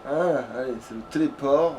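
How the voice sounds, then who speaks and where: A man with a mid-pitched voice commentates a video game casually. He rambles, uses slang and swears freely. There is slight noise in the background. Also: normal speed, darkish tone. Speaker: let's play gamer.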